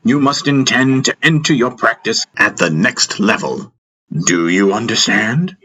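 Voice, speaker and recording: A man's voice: on the low side, unhurried, bright, forceful tone, voice pushed up. A man voicing an old spiritual master in an audiobook, playful and commanding, making emphatic teacherly pronouncements. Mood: earnest.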